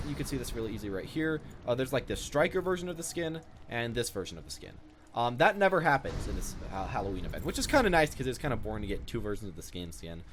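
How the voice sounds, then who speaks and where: Young guy chatting casually about a video game, talks quick, pitch bouncing around, bit of noise behind him. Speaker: gaming video creator. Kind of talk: casual off-the-cuff game showcase commentary. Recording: noticeable background noise.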